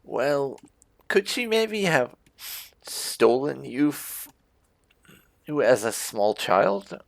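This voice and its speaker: A woman's voice: very deep voice, big pitch swings, extremely slow, vocal fry, dry recording. A woman doing roleplay game commentary, musing and hesitant, with thoughtful hums as she reads dialogue choices aloud. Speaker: gaming video host and player.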